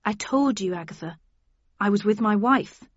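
A woman's voice: medium pitch, lively intonation, moderate pace, no echo, lo-fi sound, lightly acted. A woman voicing a brooding, conflicted male detective in an audiobook, earnest and protesting. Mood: firm.

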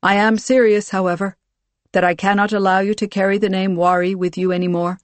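A narrator voicing a towering, commanding military ruler in an audiobook, with a loud, booming delivery and formal, measured speech. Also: level tone, dry recording, close to an everyday voice. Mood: amused, firm. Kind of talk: audiobook character dialogue.

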